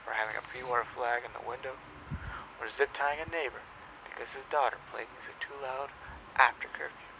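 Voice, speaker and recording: A man playing an ominous, sardonic pirate radio host in a zombie apocalypse drama, speaking in deliberate dramatic pauses. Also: medium pitch, vocal fry, pushed and bright, heavily acted. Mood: bitter, warning. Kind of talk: dramatic scripted radio monologue. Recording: muffled noisy lo-fi radio broadcast.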